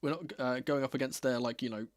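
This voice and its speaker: A man, a bit croaky from being under the weather, chats casually and wryly as the host of a football watchalong stream. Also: medium pitch, fairly even intonation, quick pace, no room sound, clean studio sound. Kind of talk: casual livestream chat.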